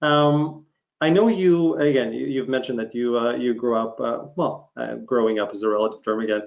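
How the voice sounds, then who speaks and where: Casting: man from Ukraine, non-native English speaker, mid-pitched, supportive casual interviewer who feels his way through questions. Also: normal speed, creaky. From Ukraine, former Soviet Union. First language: not English; learned it as a teenager. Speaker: interviewer, host.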